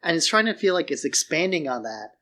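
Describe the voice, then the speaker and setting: A man with a slightly high voice co-hosts a casual film podcast. He thinks aloud in an informal, musing way, peppering his sentences with "like" as he works through a point. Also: very animated, brisk, pushed and bright. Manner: informal, musing, says "like" often. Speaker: podcast co-host.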